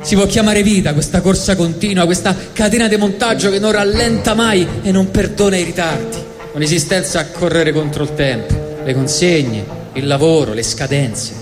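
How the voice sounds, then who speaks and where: A man's voice: high-pitched, normal speed, hardly any pauses. A man with a Roman accent giving a mock-solemn, satirical live reading on stage. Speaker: storyteller reading a comic monologue on stage. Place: live stage benefit event. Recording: echoey hall, live stage, music behind.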